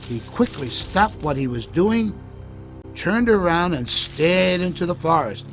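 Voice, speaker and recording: A man with a high-pitched voice narrates a children's story. His delivery is expressive and unhurried, with faint music underneath. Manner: deliberate, expressive. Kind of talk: scripted children's story narration for English learners.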